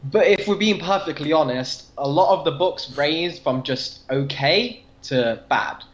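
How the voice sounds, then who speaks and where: A man's voice: a little high, animated, measured pace, reverberant, highs cut off. A British man speaking as a podcast guest in casual, opinionated discussion. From Britain. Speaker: podcast guest and comic book fan.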